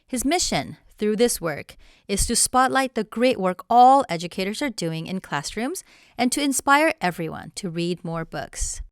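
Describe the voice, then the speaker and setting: A woman's voice: medium pitch, animated, normal speed, smooth. A woman hosting an education podcast, reading an upbeat introduction and chatting with guests in a theater venue. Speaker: podcast host. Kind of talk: scripted podcast intro then casual interview. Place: theater venue with crew setting up.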